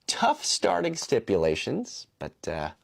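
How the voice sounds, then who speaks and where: A man's voice: medium pitch, wildly swooping pitch, a little slow, vocal fry. A man giving dry, mock-earnest step-by-step commentary in a short video clip.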